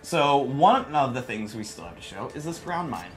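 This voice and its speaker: A man in his thirties giving a casual, jokey gameplay demonstration on a livestream, with self-deprecating, animated exclamations. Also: mid-range voice, normal speed, rough recording. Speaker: developer demonstrating game. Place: studio livestream set, indoors. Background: game music.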